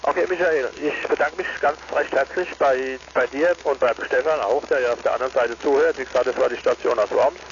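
A man chatting casually as an amateur radio operator, speaking in a halting, courteous way. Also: mid-range voice. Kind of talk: casual radio contact chat. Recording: noisy, dull amateur radio link.

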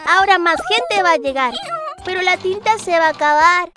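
A woman voicing a lively young cartoon lion cub, narrating in chirpy sing-song rhymes. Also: very high voice, moderate pace. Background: children's music.

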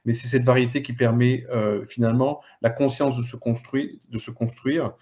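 Middle-aged man explaining haltingly on a webinar. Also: mid-range voice, level tone, a little mellow. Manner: halting, repeats small words. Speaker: local economic development director, panellist. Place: indoor room, on a video call. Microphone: headset on video call.

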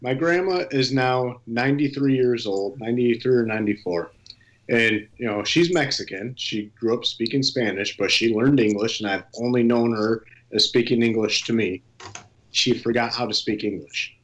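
A man's voice: medium pitch, moderate pace, highs cut off. Young guy on a video call cracking blunt jokes, telling family stories. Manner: joking, blunt, personal anecdotes. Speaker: panel guest. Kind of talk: casual panel chat on a live video call. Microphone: webcam on a video call.

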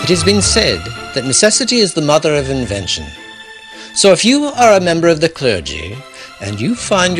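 A man with a husky voice giving scripted storytelling narration to introduce a classic tale, theatrical with deliberate pauses. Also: on the high side, fairly bright tone, poor audio. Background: faint music.

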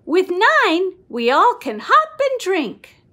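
Slow, high-pitched woman reading to children. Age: middle-aged. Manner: expressive, sing-song, slow, with whispered asides. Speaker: children's book reader. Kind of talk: animated read-aloud of a picture book for young children. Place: small room at home.

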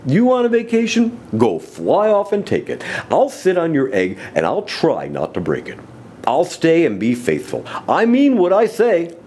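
A man voicing a big, gentle and faithful male elephant who talks in a low, earnest, steady way in a storybook reading. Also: moderate pace, a little hiss or hum. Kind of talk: storybook read-aloud.

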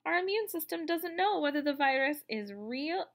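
A woman's voice: sits high, lively intonation, moderate pace. A woman in her thirties reading a children's book aloud, explaining simply and expressively. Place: indoors, room at home.

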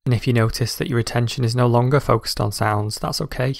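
A man calmly guiding a scripted meditation in a measured, instructional way. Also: mid-range voice, gravelly, dry recording, clean studio sound. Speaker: meditation guide.

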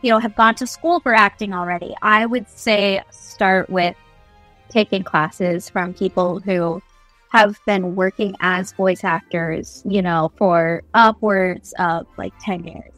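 A woman's voice: medium pitch, fairly even intonation, takes it slowly, fairly bright tone. Young woman, interview guest, chatty and enthusiastic, casual delivery over background music. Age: young adult. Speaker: guest voice actor. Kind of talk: casual video interview, giving advice. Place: small indoor room, on a video call.

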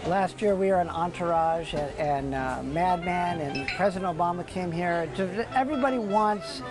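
A man's voice: sits high, moderate pace. A middle-aged man, a deli owner, casually explaining his work in the kitchen. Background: music.

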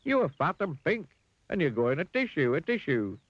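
Squeaky, simple storybook fellow. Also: male voice, sing-song, moderate pace, no echo, highs cut off. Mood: teasing. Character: simple, good-natured silly man, cheeky once clever. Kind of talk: children's storybook character voice.

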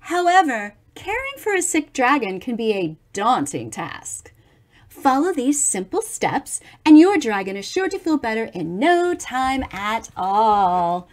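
A middle-aged woman reads a picture book aloud to children at an unhurried pace. Her voice sits high and swings widely in pitch. She is expressive and adds chatty asides to explain things. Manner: expressive, animated, explaining to young listeners. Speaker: storybook reader for children.

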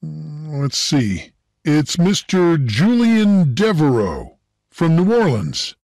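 A man's voice: on the high side, sing-song, slow pace, a little mellow, dry recording, heavily acted. A man playing a helpful, obliging port clerk in storybook dialogue. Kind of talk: scripted audiobook dialogue.

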